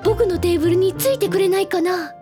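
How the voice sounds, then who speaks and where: A woman voicing a bubbly, earnest teenage schoolgirl who tells stories in an expressive, chatty audio drama style. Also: very high voice, fast. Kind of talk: Japanese audio drama acting. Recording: background music under the voice.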